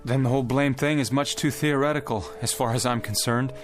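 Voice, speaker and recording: An actor playing a skeptical, courteous and somewhat weary gentleman in a naturalistic radio play. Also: mid-range voice, steady tone, fairly quick, soft background music, lightly acted. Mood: weary, polite.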